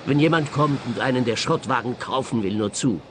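An actor playing a baffled, grumbling scrapyard owner in a radio play, puzzled and protesting. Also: male voice, mid-range voice, fairly quick, slight background noise, natural voice.

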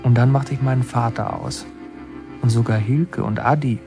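A male actor plays a brooding young narrator in a literary radio drama. He speaks reflectively in a medium-pitched voice, phrasing deliberately over clearly audible music. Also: fairly even intonation, close to an everyday voice. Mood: dreamlike, intense. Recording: prominent music under the voice.

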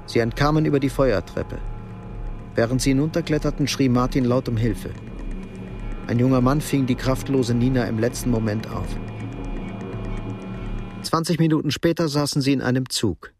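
A man reading scripted narration for a radio drama, with a gravelly voice. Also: mid-range voice, moderate pace, long pauses, over music.

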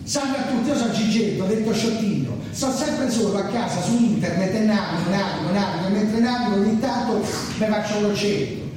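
A man's voice: high-pitched, a little fast, hardly any pauses, pitched above the performer's own voice, natural voice. A man playing a manic, boastful drunken internet hermit, rattling off emphatic lists in a satirical stage monologue. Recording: distant, noisy, very echoey hall recording.

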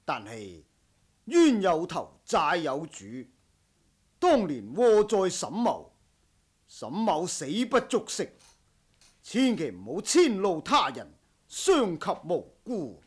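A man's voice: high-pitched, huge pitch swings, slow, pauses often, breathy edge, heavily acted. A man acting a proud, honourable martial-arts household master in a Cantonese radio drama, speaking in formal, stern, old-fashioned heroic language. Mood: grave, pleading.

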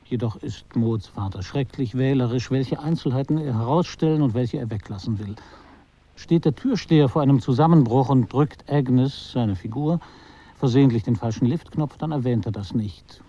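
A man performing a detached, ironic literary narrator in a radio drama, with measured, deliberate phrasing. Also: mid-range voice, mellow, highs cut off, lightly acted. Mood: measured, wry.

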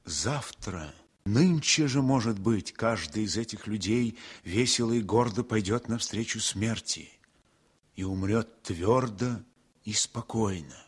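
An actor as the solemn narrator of a war story, giving measured, expressive scripted narration in a radio drama. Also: male voice, on the low side, slightly rough.

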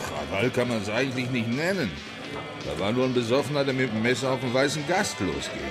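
An actor playing a big, easygoing, evasive bartender in a German radio crime drama. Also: male voice, mid-range voice, animated, a little fast, music in the background, close to an everyday voice.

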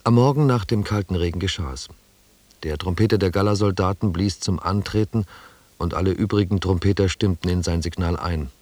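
An actor playing a reflective expedition member, narrating in a literary, descriptive style for an audio drama. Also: male voice, low-pitched, a little fast, a little mellow, no room sound. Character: reflective male expedition member recalling the jungle.

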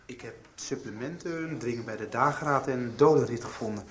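An actor playing a reserved, bookish schoolboy speaking politely in a radio soap. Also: male voice, mid-range voice, brisk, lightly acted. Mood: reserved, curious. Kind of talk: Dutch radio soap acting. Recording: faint background noise.

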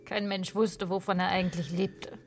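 A woman performing as a knowing storyteller in a literary radio play, theatrical and savouring, with a breathy voice that often drops toward a whisper. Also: lowish voice, flat delivery, normal speed, music in the background, natural voice. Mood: wry. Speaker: radio drama narrator.

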